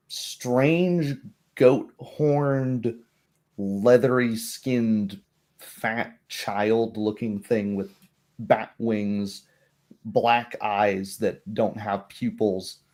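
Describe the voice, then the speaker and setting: A man narrating a tabletop roleplaying game as game master, describing scenes slowly and in detail. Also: on the low side, warm tone.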